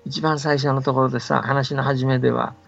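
A man's voice: medium pitch, little pitch movement, moderate pace, vocal fry, fairly bright tone, soft background music. An older man who gives casual, patient explanations as a folktale scholar, often checking that the listener agrees. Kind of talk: casual explanatory radio talk about folktales. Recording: dull band-limited audio, faint background noise.

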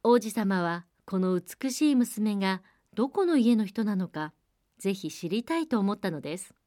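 A woman narrates in the manner of a radio fairy-tale programme. Her mid-pitched voice is measured and polite. The sound is dry, with almost no room around it. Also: animated, warm tone, dry recording. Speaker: storyteller and programme co-host.

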